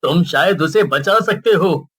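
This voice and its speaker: A man's voice: fairly high pitch, measured pace, fairly bright tone, husky. A man playing a concerned, protective, earnest father in a cartoon horror story. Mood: pleading. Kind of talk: Hindi cartoon character acting.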